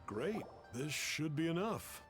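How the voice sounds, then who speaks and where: A man's voice: on the high side, big pitch swings, slow pace, fairly bright tone, soft background music, full character voice. An actor playing a plain-spoken young townsman in a video game, matter-of-fact and slightly wary. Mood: helpful. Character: plain-spoken young man, villager who loves cooking.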